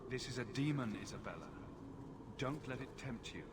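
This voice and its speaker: A man's voice: mid-range voice, animated, normal speed, lightly acted. An actor playing a blunt, wry male adventurer in a video game, with a casual delivery. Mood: warning. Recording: loud ambient noise.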